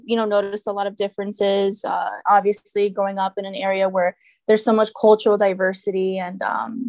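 A woman's voice: mid-range voice, a little mellow, no echo. A young woman from Connecticut, talking as a podcast guest in a chatty way, full of fillers and hedges. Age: young adult. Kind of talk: casual podcast interview.

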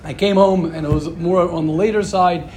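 A man's voice: high-pitched, fairly even intonation, moderate pace, a little mellow. A married man giving an informal religious talk as a Torah teacher, earnest and rambling, repeating phrases and adding asides. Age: adult, married with kids.

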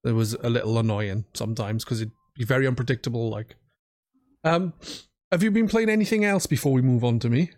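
Male podcast host, medium pitch, informal chat with a co-speaker. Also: a little fast, a little mellow.

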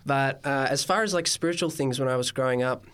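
A man's voice: medium pitch, fairly quick, a bit bright, smooth. A man telling childhood anecdotes as an interview guest, in a wry, good-humored way. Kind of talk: casual anecdotes.

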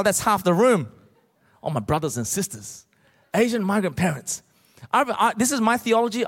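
Male preacher, middle-aged, Australian accent. Notably high voice, emphatic comic storyteller, big reverberant hall. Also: a bit bright. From Australia, born in Malaysia. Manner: emphatic, rhetorical questions to the congregation. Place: large church auditorium, speaking to a congregation.

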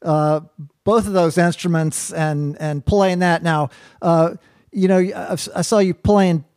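Stumbling, chummy interview host, dry sound. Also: male voice, high-pitched, steady tone, takes it slowly, a little mellow, clear, resonant tone, dry recording. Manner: chummy, stumbling, lots of fillers and repeats. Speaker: podcast host. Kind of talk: casual interview chat.

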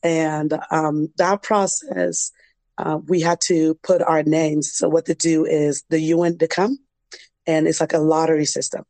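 Young woman, evenly pitched. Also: little pitch movement, a little slow, mellow, dry recording. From Liberian, grew up in a refugee camp in West Africa. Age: young adult. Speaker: interview guest, dance program founder. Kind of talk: conversational personal storytelling.

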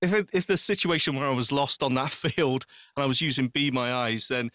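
A man's voice: mid-range voice, brisk, sharp tone, no echo, studio quality. A man talking casually and earnestly as a panelist on a podcast, with an emphatic way of putting things.

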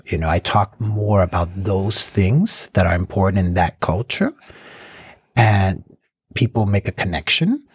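Middle-aged man, slightly husky, lower voice, reflective interview answers. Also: expressive, a little slow, no room sound, studio quality. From United States. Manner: thoughtful, reflective, with small restarts. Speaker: cross-cultural mediator.

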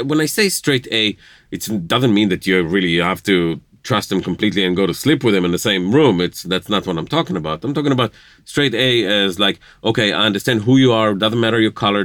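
A man's voice: on the low side, fairly bright tone, dry recording, studio quality. An Israeli man talking earnestly on a podcast, in slightly broken English, often laughing. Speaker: podcast guest. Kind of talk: casual conversation.

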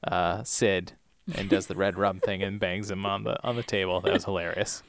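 A man's voice: sits low, steady tone, normal speed, vocal fry, no echo, clean studio sound. A man co-hosting a podcast in casual TV recap chat, joking and teasing. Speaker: podcast co-host.

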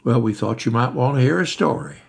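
A man doing a loud, rowdy hiking buddy. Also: lively intonation, brisk, creaky, slightly rough. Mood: eager. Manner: booming, boisterous.

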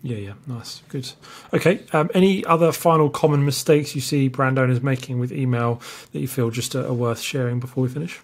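A man's voice: mid-range voice, fairly quick, few pauses. A man hosting a podcast, asking questions in a conversational interview style. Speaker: podcast host.

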